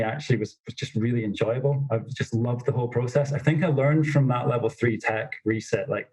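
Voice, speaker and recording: A young man telling stories as a podcast guest, in a self-described monotonous voice with lots of fillers. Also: mid-range voice, slightly rough, low-quality recording. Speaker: snowboard instructor trainer, podcast guest. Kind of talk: casual interview storytelling.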